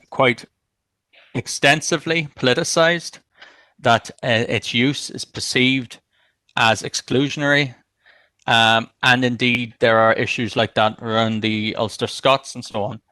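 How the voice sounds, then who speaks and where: A man's voice: medium pitch, fairly even intonation, measured pace, sharp tone, no echo. A man councillor speaking in a council debate, hesitant and thinking aloud. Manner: hesitant, many fillers and restarts, thinking aloud.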